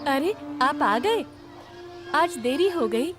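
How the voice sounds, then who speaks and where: A woman plays an innocent, obedient village wife in a cartoon moral tale. She sounds high and questioning, deferential to her husband, over a music bed. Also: lively intonation, takes it slowly. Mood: puzzled. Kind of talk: animated children's moral story dialogue.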